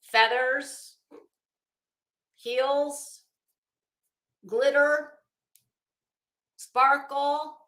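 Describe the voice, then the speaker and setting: A woman's voice: high-pitched, steady tone, extremely slow, long pauses, bright, forceful tone. A woman host chatting casually and bluntly with viewers on a livestream, informal and talkative. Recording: echoey room.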